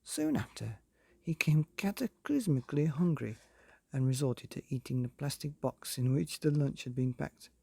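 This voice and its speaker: Male storybook narrator: husky, mellow, measured delivery with deliberate pauses, for bedtime listening. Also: medium pitch, expressive, dry recording. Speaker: narrator of a bedtime stories podcast.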